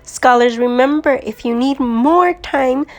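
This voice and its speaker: A woman teacher reads a children's nonfiction book aloud, stopping often to ask her young listeners questions. She speaks slowly and carefully. Her voice sits a little high. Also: faint music behind. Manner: slow, deliberate, addresses kids as scholars. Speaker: second grade teacher. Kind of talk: read-aloud lesson for children.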